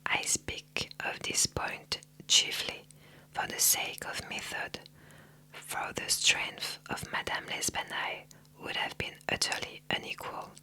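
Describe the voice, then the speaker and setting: Whispering reader as a measured, analytical detective; slow and breathy. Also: sharp tone, rough recording.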